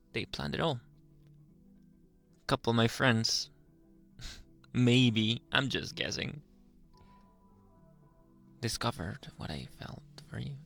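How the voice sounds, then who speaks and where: Slow-talking, flirty reformed bully played by a man. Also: mid-range voice, sing-song, faint music behind, full character voice. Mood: flirty, hopeful. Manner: soft, halting confession with breathy chuckles and trailing phrases. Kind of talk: intimate ASMR roleplay monologue.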